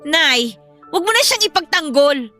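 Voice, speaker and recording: High, bright, aggrieved younger sister acted by a woman. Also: animated, a little slow, soft background music, full character voice. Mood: hurt, resentful. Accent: Filipino. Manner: aggrieved, sulky, defensive. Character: hurt, defiant younger sister and daughter. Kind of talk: Filipino audio drama acting.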